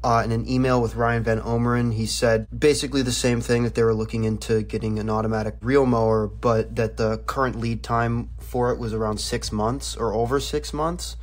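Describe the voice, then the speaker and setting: A man's voice: mid-range voice, level tone, brisk, few pauses. A young man explaining things as a student reporter in an informal, conversational interview, phrasing his answers loosely. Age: young adult.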